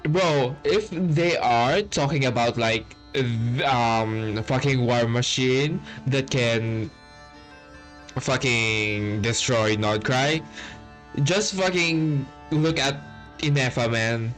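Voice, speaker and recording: A gamer giving casual, slangy gameplay commentary with plenty of swearing and drawn-out reactions. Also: mid-range voice. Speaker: gamer reacting while playing. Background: game music.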